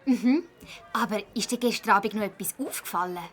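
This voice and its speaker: A woman voicing an eager, determined schoolgirl detective in a children's audio drama, emphatic and expressive, with a Swiss German accent. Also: mid-range voice, a little fast, slight background noise, natural voice. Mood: curious.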